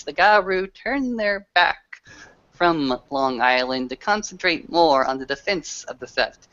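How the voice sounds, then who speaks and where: A tabletop roleplayer telling a tale in character, in grandiose, theatrical phrases with dramatic pauses. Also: medium pitch, a bit bright, no echo, dull audio. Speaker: player in a tabletop roleplaying game. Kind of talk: in-character storytelling in a tabletop game.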